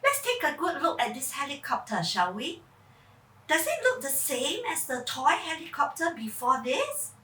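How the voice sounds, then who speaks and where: A woman's voice: high-pitched, huge pitch swings, moderate pace, pushed and bright. A woman giving a theatrical children's story reading, putting questions to her young listeners. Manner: theatrical, puts questions to child listeners, vocal sound effects. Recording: echoey room, far from mic.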